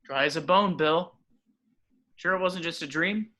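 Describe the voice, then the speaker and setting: A man's voice: on the high side, a little slow, sharp tone. A man playing an easygoing, dismissive father of a young boy in an amateur screenplay read.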